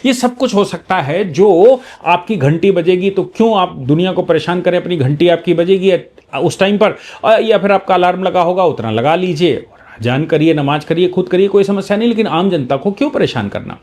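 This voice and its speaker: A man speaks as a legal commentator, in a voice pitched slightly higher than most men. He explains current affairs in a teaching style, stressing his points firmly and often repeating a phrase to make it land. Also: expressive, normal speed, a little mellow. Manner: emphatic, repeats phrases for emphasis.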